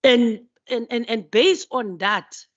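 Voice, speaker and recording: Slow-speaking woman questioning a committee. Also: a little high, no echo, lo-fi sound. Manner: repetitive, stumbling over words. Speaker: committee member. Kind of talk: formal questioning at a hearing.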